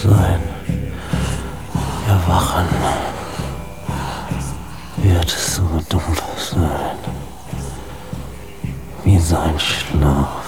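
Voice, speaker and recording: An actor playing a grim chanter of bleak verses, with heavy, drawn-out delivery in a radio drama interlude. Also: male voice, sits low, heavily acted. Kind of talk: lyrical radio drama interlude over music. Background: loud music.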